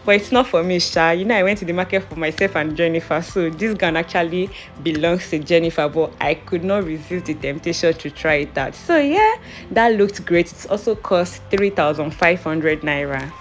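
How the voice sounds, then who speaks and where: A woman's voice: fairly low pitch, normal speed, soft background music. A young woman with a Nigerian accent, narrating her clothing haul in a chatty, playful, self-amused way. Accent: Nigerian English. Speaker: vlogger.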